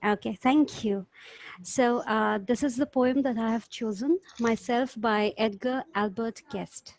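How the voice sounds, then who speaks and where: A woman's voice: a little high, measured pace, gravelly, a little mellow. A woman taking part in an online poetry gathering, speaking and reciting as a member over a video call. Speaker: poetry group member reading a chosen poem.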